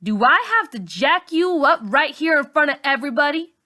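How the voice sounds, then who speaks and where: A woman voicing a loud, menacing schoolgirl bully in an audiobook, with a commanding, confrontational delivery. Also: high voice, animated, normal speed, pitched above the performer's own voice. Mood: threatening.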